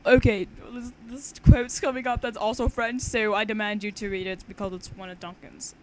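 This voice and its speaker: A woman's voice: medium pitch, level tone, moderate pace. A young woman reading a story aloud casually with banter, informal and giggly, often stumbling over her words. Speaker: amateur reader of own fan fiction. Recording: noisy homemade amateur recording.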